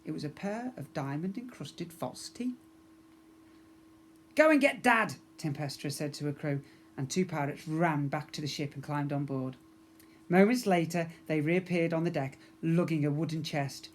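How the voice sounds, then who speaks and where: A man narrates a children's storybook. His delivery is expressive and clearly aimed at young listeners, like a teacher reading to a class. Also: fairly high pitch.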